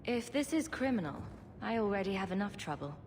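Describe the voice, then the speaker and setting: An actress playing a blunt, wry, self-assured adventurer in a video game, with a dry and casual delivery. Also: female voice, medium pitch, sing-song, a little fast, noisy background. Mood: skeptical.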